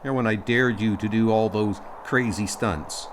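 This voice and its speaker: A man's voice: fairly low pitch, fairly even intonation, unhurried, noisy background, natural voice. A man voicing a resilient colony leader in a comic audio drama, familiar and plain-spoken. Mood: stubborn, familiar. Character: rugged, resilient male colony leader, captain's old friend.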